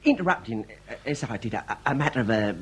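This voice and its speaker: A man plays a young police subordinate in a comic Victorian radio drama. He is deferential and halting, with wordy phrasing and a lively rise and fall in pitch. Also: close to an everyday voice. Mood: awkward hesitant.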